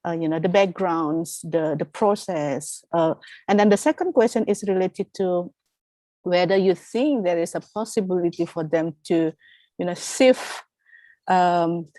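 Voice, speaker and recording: A woman's voice: lowish voice, darkish tone, no echo. A woman asks a thoughtful, hesitant question at a conference. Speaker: audience member asking a question.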